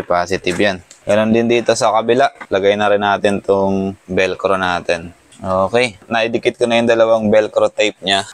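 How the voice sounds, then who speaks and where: A man's voice: lowish voice, slight background noise. A Filipino man giving a casual hands-on installation walkthrough, thinking aloud as he works. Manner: thinking aloud while working with his hands. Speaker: motorcycle gear vlogger.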